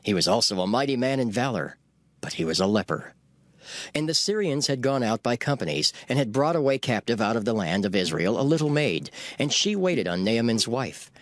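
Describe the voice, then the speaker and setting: Male narrator, lively intonation, medium pitch, solemn, measured, scripted scripture reading, faint music. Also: no room sound.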